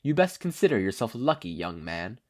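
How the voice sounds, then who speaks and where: A man voicing a courteous, theatrical gentleman hero from a story, with florid old-fashioned phrasing. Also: lowish voice, sing-song, normal speed. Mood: grandly magnanimous.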